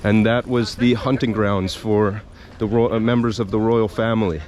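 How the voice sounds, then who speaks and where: A man's voice: on the low side, steady tone, moderate pace, darkish tone. A man narrating a casual travel vlog, with faint outdoor city sound behind him. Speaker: travel vlogger.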